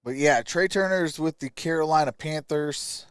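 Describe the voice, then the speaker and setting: A man's voice: fairly high pitch, moderate pace, fairly bright tone, clear, resonant tone, dry recording. A man hosting a live stream, giving casual draft commentary and reading out stats in clipped phrases.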